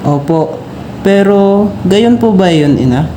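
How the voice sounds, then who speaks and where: A man's voice: high-pitched, animated, takes it slowly. A man playing a brave, curious and respectful boy in an amateur Filipino radio drama. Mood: earnest, questioning. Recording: roomy sound with faint noise.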